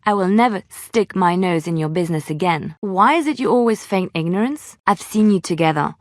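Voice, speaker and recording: A woman's voice: fairly low pitch, animated, brisk, no echo, studio quality, natural voice. A woman playing an earnest, tender-hearted young adventurer in a fantasy video game, speaking hesitantly and sincerely. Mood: concerned, defensive, then accusing. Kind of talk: dramatic video game dialogue.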